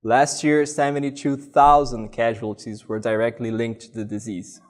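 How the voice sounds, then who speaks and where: A man in his thirties from Brazil, a master's student giving a steady, scripted presentation full of statistics. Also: mid-range voice, normal speed. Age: 30s.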